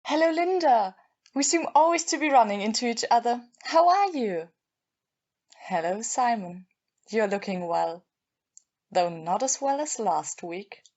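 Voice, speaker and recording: A woman's voice: a little high, animated, a little slow, darkish tone. A woman playing a bitter, obsessive young jilted woman with brittle politeness in an audio play. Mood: pointedly sweet. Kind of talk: amateur audio play acting. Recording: dull, muffled lo-fi.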